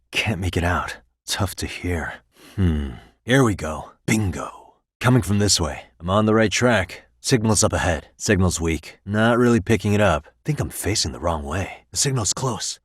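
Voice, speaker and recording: Game casting: streetwise American private eye, sardonic and casual, husky low-pitched voice, short barks and asides. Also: male voice, fairly bright tone, no room sound, studio quality, full character voice. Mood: focused, muttering. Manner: casual, sardonic muttering with frequent sighs. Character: wry, streetwise male private detective, adult. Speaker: video game voice actor.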